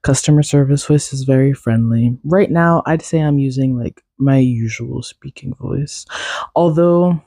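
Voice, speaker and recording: A young woman rambles through a casual solo podcast, laughing at herself and tossing in plenty of fillers. Her voice is raspy and stuffy from a lingering cold, with a mellow, darker tone. She sounds close to the mic in a dry, dead room. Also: rumbling low, measured pace, no echo. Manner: rambling, self-deprecating, many fillers and laughs. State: congested, recovering from a cold. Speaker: podcast host.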